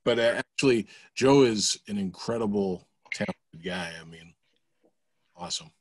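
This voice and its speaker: A man's voice: fairly low pitch, normal speed, breathy edge, no echo. A man from Milwaukee in a band, talking in a laid-back way about a side project. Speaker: band member guest. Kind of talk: casual chat.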